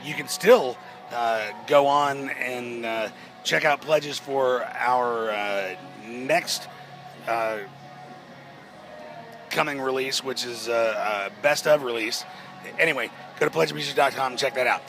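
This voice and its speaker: A man with a naturally hoarse voice answers interview questions at a festival, taking his time. He talks in a halting way, restarting sentences often. Faint music plays in the background. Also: medium pitch, fairly bright tone. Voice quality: hoarse-sounding. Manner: slow, halting, many restarts and fillers. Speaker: rock band member.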